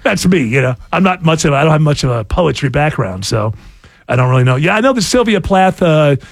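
A man's voice: a little fast, gravelly. A man hosting a radio show, chatting casually in a rambling, self-mocking way. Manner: rambling, self-mocking, animated pitch jumps. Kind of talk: casual on-air banter.

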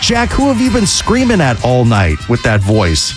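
A man hosting a radio trivia game, briskly repeating the answers and keeping score. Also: mid-range voice, wildly swooping pitch, over music. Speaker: radio host.

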